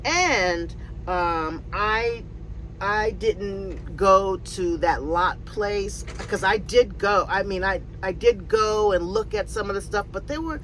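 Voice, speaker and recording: Fifty-something woman chatting slow, lots of ums and restarts, faint hiss behind her. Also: mid-range voice, a bit bright, rough recording. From Connecticut, USA. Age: 50s. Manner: rambling, many fillers and restarts. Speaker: vlogger answering viewer questions. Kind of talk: casual Q&A chat. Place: in or near her RV.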